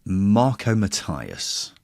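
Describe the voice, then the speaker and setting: A man's voice: low-pitched, wildly swooping pitch, very slow, vocal fry, darkish tone, dry recording, studio quality. A man hosting a football podcast, chatting casually with playful, teasing banter. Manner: jokey, playful teasing, mild swearing. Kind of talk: casual banter, quiz chat.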